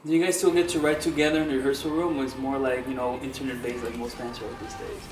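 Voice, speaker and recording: A man's voice: medium pitch, level tone, fast talker, faint music behind. A man interviewing a band, asking rambling questions and repeating himself. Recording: echoey room, faint noise.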